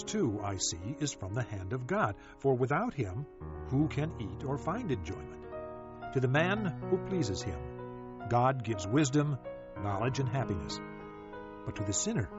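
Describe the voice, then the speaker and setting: A man narrating a dramatized scripture audiobook in a solemn, measured manner, with deliberate pauses. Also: medium pitch. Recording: slightly dull audio with music behind. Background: music.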